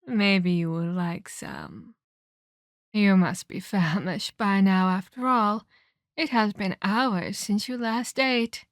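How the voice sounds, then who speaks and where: A woman playing a haughty, menacing vampire elder in a scripted ASMR roleplay, speaking in a deliberate, taunting, condescending way with formal phrasing. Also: medium pitch, no room sound, studio quality.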